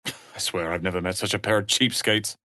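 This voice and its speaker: A man's voice: fairly low pitch, steady tone, brisk, sharp tone, dry recording, clean studio sound. A man voicing a haughty, sardonic young wizard's apprentice in a video game, with a pompous, theatrical delivery. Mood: scornful. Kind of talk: dramatic video game voice acting.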